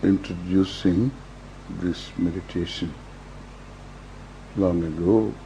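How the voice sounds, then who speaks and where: Very slow, low-voiced older Indian man. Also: fairly even intonation, creaky, mellow. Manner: measured, halting short phrases with long pauses. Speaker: meditation teacher, swami. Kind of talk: guided meditation, slow instruction. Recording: dull lo-fi recording with faint hum.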